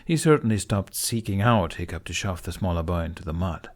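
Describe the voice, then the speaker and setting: A man's voice: sits low, animated, brisk. A man reading a scripted story expressively as an audiobook narrator.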